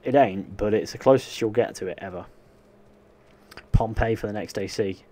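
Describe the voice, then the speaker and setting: A man's voice: on the low side, moderate pace, dark tone. A young man, chatting casually and answering chat questions while playing a game live. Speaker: gaming livestreamer.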